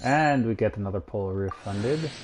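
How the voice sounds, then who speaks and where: A man's voice: lowish voice, animated, normal speed. A man streaming a video game, giving casual play-by-play commentary on what he does. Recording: game music behind the voice. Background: video game music.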